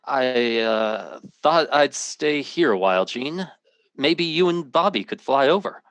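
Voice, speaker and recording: An actor playing a bewildered, logical mathematics professor in an old-time radio drama, with a dramatic, urgent delivery. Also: male voice, mid-range voice, lively intonation, measured pace, fairly bright tone, no echo. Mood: hesitant, uneasy.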